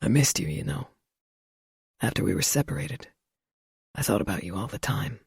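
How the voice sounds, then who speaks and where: A woman voicing a gruff young father just out of prison, speaking low and subdued in a husky voice. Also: expressive, moderate pace, a little mellow, no room sound, studio quality, full character voice. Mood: tender. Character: gruff young adult man, ex-convict father. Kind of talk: audiobook character voice.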